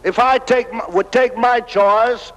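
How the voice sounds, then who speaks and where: A man speaks with feeling at a public hearing in a big chamber. His voice is high, and the old recording is muffled with long reverb. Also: steady tone, unhurried, fairly bright tone. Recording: dull, echoing hall on 1970s tape.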